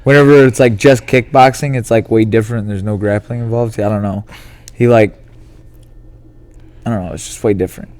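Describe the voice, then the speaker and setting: Casual, swearing podcast co-host, low-pitched man. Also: fairly even intonation, brisk, long pauses, darkish tone. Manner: casual, profane, lots of "like". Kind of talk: casual sports chat.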